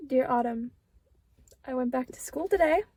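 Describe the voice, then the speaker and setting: Woman as guilt-ridden schoolgirl, one-act play; slightly high, mellow, downcast, faint noise. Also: moderate pace. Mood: remorseful. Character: apologetic, guilt-ridden, downcast teenage girl.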